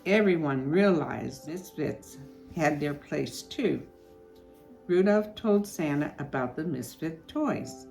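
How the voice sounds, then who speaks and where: Older female storyteller, very varied intonation, unhurried delivery, soft music behind her. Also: deep voice, animated, vocal fry. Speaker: storytelling host. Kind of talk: animated storybook reading and casual chat.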